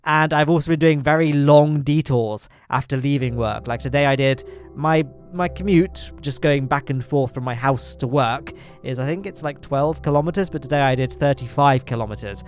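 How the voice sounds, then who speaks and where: A man's voice: medium pitch, normal speed, faint music behind. An English man with a nasal, congested voice, rambling through casual gameplay commentary with lots of fillers and self-deprecating asides. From England. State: congested from hay fever. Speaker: gaming channel host.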